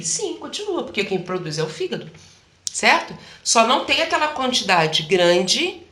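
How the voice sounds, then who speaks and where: A woman, a health practitioner, explaining things in an animated interview chat and often acting out quoted voices. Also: medium pitch, reverberant.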